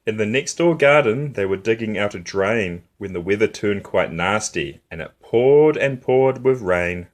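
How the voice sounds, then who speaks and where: A man reading a rhyming picture book aloud for young children in an expressive, rhythmic way, as a storytime host. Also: on the low side, unhurried, few pauses.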